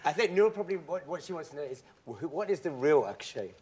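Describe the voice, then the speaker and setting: A man's voice: a little high, expressive, quick pace, a little mellow. A man hosting a live interview and taking questions from the audience. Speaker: co-host. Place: radio theatre stage before an audience. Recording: live theatre, crowd behind, rough.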